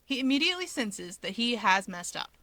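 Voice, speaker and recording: A woman's voice: on the high side, sing-song, a little fast, sharp tone, dry recording. A woman reading fiction aloud as a scripted narrator. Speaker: story reader.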